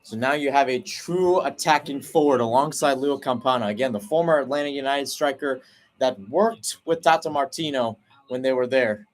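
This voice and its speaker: Man calling soccer play-by-play. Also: medium pitch. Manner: brisk flowing call, rising sharply on chances; self-correcting, explains tactics between plays. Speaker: play-by-play commentator.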